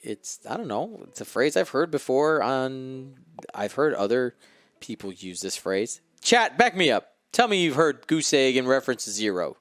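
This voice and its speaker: A man's voice: mid-range voice, big pitch swings, moderate pace, a bit bright, no room sound. A man co-hosting a live stream of a tabletop miniatures game, commentating in a chatty way and bantering playfully with viewers. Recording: close dry mic with faint music.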